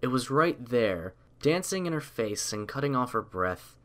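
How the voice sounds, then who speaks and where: A man reading a story aloud as scripted audiobook narration, in a measured, literary storytelling manner. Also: mid-range voice, big pitch swings, a bit bright.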